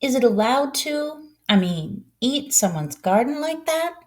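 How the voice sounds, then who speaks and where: Woman voicing a curious young boy, lifted pitch. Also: very animated, unhurried. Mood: puzzled, polite. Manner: questioning, earnest.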